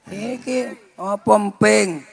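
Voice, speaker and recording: A man's voice: high voice, slow, vocal fry, breathy edge. A man with a Javanese accent clowning in short casual retorts as a comedian sidekick at a live event. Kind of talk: comic banter in casual Javanese. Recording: noisy live event sound.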